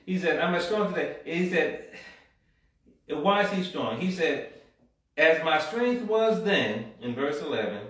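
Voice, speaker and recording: A man preaching a sermon, reading scripture aloud and building to fervent exhortation with frequent amens and call-and-response, recorded in a church hall. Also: fairly high pitch. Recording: very echoey room from far off.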